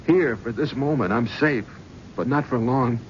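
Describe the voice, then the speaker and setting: An actor playing a driven, haunted talent scout in a 1970s radio drama, bitter and sardonic. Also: male voice, mid-range voice, unhurried, natural voice. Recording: dull old radio broadcast with faint hiss.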